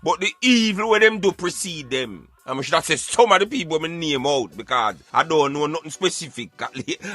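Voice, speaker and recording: A man's voice: medium pitch, sharp tone, slightly rough. A man with a Jamaican accent hosting a radio show, giving emphatic, colloquial satirical commentary in a conversational way. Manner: emphatic, colloquial, addressing listener as brethren.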